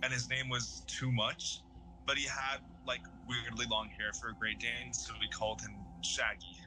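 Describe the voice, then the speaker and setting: An actor playing an amiable, goofy young slacker in an audio drama, hesitant and rambling. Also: male voice, medium pitch, level tone, normal speed, sharp tone, slight background noise, low-quality recording. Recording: music behind the voice.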